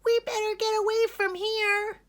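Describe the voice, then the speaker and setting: A woman puts on a tiny, timid storybook insect. The voice leaps very high into a squeaky, skittish shriek, loud and frightened of spiders. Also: little pitch movement, unhurried.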